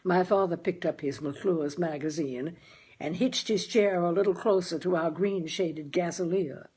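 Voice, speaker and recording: A woman reading a short story aloud as narrator, in a measured, expressive way. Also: on the low side, husky. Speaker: reader and host of a story series.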